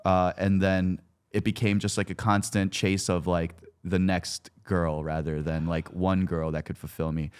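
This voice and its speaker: A man's voice: low-pitched, flat delivery, a little slow, darkish tone, clean tone. A man in his twenties talking candidly and informally as a guest about his personal life, with slang and some swearing. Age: 20s. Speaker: podcast guest, content creator.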